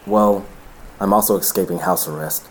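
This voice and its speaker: A man's voice: deep voice, fairly even intonation, moderate pace, close to an everyday voice, poor audio. An actor playing an earnest, lovelorn young man in an audio drama, with a pleading manner. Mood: startled, defiant. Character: earnest, lovelorn young man rebelling against his mother.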